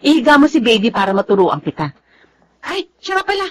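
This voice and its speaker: Chatty, animated Filipino friend. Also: female voice, a little high, brisk. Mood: teasing, cheerful. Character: chatty, teasing woman friend, confident with babies. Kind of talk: Filipino radio drama acting.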